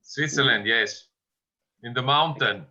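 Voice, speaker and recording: A man attending an online class who speaks slowly and in a friendly way. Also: medium pitch, crowd noise. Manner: unhurried, friendly, laughing lightly. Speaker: student. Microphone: video call. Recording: dull video call audio.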